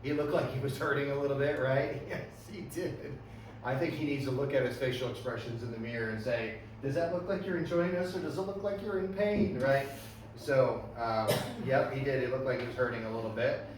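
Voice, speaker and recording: A male music teacher leads a classroom workshop, asking his students questions and checking in every few sentences. His voice sits at an ordinary middle pitch and is picked up from a distance in a very echoey room, with steady background noise. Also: a little fast. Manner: friendly questioning of students, frequent "right?" and "okay".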